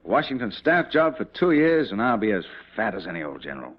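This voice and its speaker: An actor playing a confident cavalry commander and devoted husband, in mid-century dramatic style. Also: male voice, on the low side, sing-song, fairly quick, slightly rough. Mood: warm, jovial. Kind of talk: mid-20th-century American dramatic acting. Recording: dull old radio-era drama recording.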